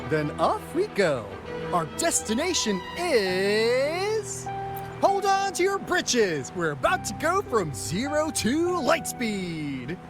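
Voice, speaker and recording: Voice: mid-range voice, wildly swooping pitch, takes it slowly. An actor playing a breezy, cocky pilot and guide in a video game, with a folksy, slangy manner. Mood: playful, excited. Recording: music behind.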